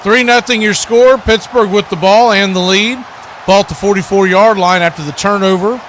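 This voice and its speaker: A man does live football play-by-play. His voice sits high and has a bright, forceful edge. Faint noise runs behind him. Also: moderate pace. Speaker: play-by-play sports commentator.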